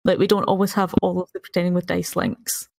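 A woman's voice: on the low side, flat delivery, fast talker, gravelly, a little mellow, recorded from a distance. A woman co-hosting a podcast in casual conversation. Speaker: podcast co-host and player. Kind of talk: casual chat.

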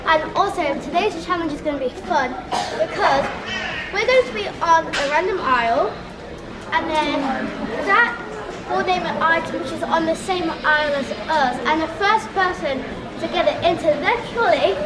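A woman's voice: very high-pitched, moderate pace, heavy background noise. A young woman chatting to camera, explaining a shopping challenge in a supermarket. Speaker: vlog presenter. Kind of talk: vlog intro to camera. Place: large supermarket, indoors. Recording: echoey store with music and crowd.

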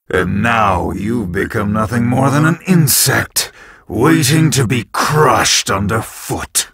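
An actor playing an arrogant, power-drunk jackal villain in a video game, husky and breathy, with haughty, menacing, deliberate taunts. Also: male voice, fairly low pitch, fairly bright tone, dry recording, studio quality, full character voice. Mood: bitter, gloating.

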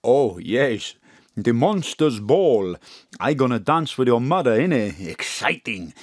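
A man's voice: medium pitch, big pitch swings, takes it slowly, no echo, studio quality, exaggerated voice. Wildly swooping, clownish man. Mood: excited, bashful. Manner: broken English, frequent tag words. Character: excitable, smitten, clownish Greek man with broken English. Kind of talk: comic audio drama acting.

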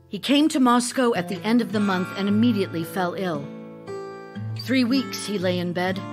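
Actress as a tender, devoted wife over music. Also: female voice, lowish voice, lightly acted. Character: devoted wife of an ailing writer, wistful, affectionate. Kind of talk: audio drama acting, narrated memoir and letters. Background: music with plucked guitar.

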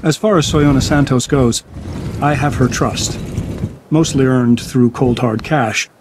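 An actor playing a wealthy, smug biotech scientist in a video game, grandiose and self-assured, enthralled by dinosaurs. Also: male voice, medium pitch, measured pace, background noise, lightly acted. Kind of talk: video game cutscene acting.

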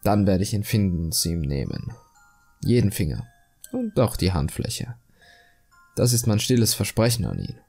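A calmly cruel male killer obsessed with beauty, acted out by a man in a horror audio story. His delivery is husky and expressive, confiding and self-righteous, over faint background music. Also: lowish voice, moderate pace, pauses often, full character voice. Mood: coolly self-righteous. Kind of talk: German creepypasta horror monologue, dramatic reading.

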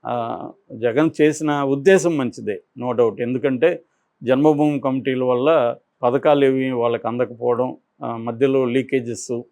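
Halting male political analyst, dry sound. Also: mid-range voice, fairly even intonation, a little mellow, no room sound. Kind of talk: unscripted political commentary.

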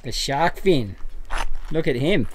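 A man with an Australian accent, chatting casually outdoors as a family travel vlogger, relaxed and full of ums and likes. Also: fairly high pitch, takes it slowly, a little hiss or hum, rough recording. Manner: relaxed, chatty, uses fillers like um and like. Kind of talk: casual vlog narration. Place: outdoors in the bush.

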